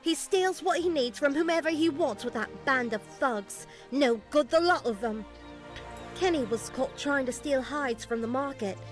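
A woman's voice: high voice, animated, moderate pace, faint music behind. An actress playing a distressed, folksy village woman telling of bandit raids in a fantasy game. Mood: indignant.

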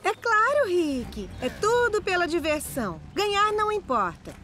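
A woman's voice: very high-pitched, sing-song, measured pace, bright, forceful tone, heavily acted. A Brazilian woman voicing a sporty, fiercely competitive mother motorbike in a children's cartoon, bold and exclamatory. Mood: eager. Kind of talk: animated cartoon dubbing. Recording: music behind the voice.

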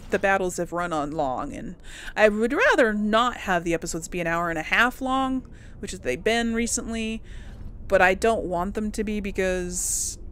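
A woman's voice: fairly low pitch, a bit bright, a little hiss or hum. A woman hosting a game playthrough, chatting casually to viewers and musing aloud to herself. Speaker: host of a gaming playthrough series. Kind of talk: casual let's play commentary, chatting to viewers.

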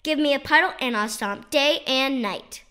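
A woman reading children's poetry aloud in an expressive, playful, sing-song and emphatic way. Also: high-pitched, moderate pace. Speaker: children's poetry author and reader.

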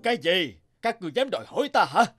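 A man's voice: high voice, sing-song, fast talker, a bit bright, husky, exaggerated voice. A man voicing a cruel, greedy, bullying landlord in a cartoon, barking and domineering. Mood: angry, commanding. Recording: music behind the voice.

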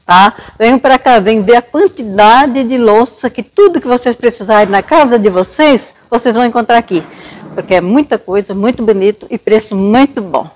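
A woman's voice: fairly high pitch, moderate pace, low-quality recording. An older woman, giving a friendly, informal invitation to camera. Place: large indoor hall.

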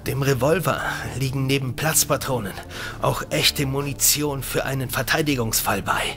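A man acts out a protective male doctor in a polar thriller radio play. The voice sits mid-range with a gravelly creak, earnest and urgent, carrying quiet authority. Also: mid-range voice, normal speed, music in the background, natural voice. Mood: firm, tense. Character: level-headed male doctor, protective leader on polar station. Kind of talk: audio thriller acting.

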